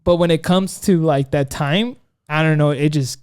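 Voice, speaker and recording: A man with a fairly high, smooth and clear voice talks loosely in casual podcast chat. He rambles through stories, stacking up "like" and restarting his sentences, with a joking edge. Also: moderate pace, darkish tone. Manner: rambling, many "like" fillers, joking. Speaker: podcast co-host.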